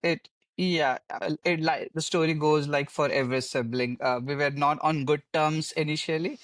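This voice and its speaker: A man's voice: fairly high pitch, steady tone, moderate pace, a bit bright, no echo, distant mic. A young man from India answering interview questions earnestly, often repeating words as he starts a thought. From Mumbai, India. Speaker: podcast guest.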